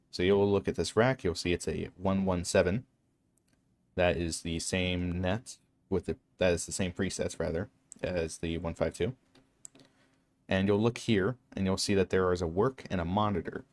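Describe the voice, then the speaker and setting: Low-pitched man giving a game tutorial. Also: slight background noise. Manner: matter-of-fact, explanatory. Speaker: tutorial narrator. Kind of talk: instructional game walkthrough narration.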